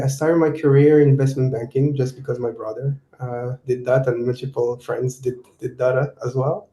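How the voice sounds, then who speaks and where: A man from Morocco giving a tech seminar talk and answering interview questions, halting with many fillers and restarts. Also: mid-range voice, dark tone. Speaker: guest speaker, technical program manager. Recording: roomy echo.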